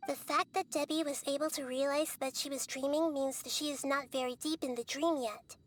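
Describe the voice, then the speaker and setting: A young-looking goddess of wisdom, voiced by a woman, with a high, girlish voice. She talks calmly and patiently, laying things out step by step like a gentle teacher. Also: brisk, lightly acted. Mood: explaining. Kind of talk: video game voice acting.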